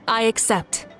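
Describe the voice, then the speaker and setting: An actress playing a confident, good-humored female warrior leader in a video game, composed and assured. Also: bright, forceful tone. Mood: composed, intrigued. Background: background music.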